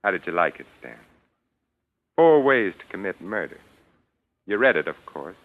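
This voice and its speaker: An actor playing a vengeful, mocking murderer in 1940s radio suspense, theatrical and sardonic. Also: male voice, deep voice, sing-song, normal speed, heavily acted. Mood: menacing, taunting. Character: vengeful, gloating male murderer, coldly mocking and superior. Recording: muffled tinny vintage radio recording.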